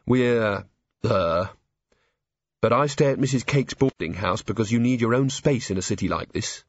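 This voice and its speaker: A man voicing a dry, laconic female watch officer in an audiobook, gently teasing. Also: fairly low pitch, moderate pace, slightly rough, no echo, dull audio. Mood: wry, relaxed. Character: wry, unflappable female city watch officer.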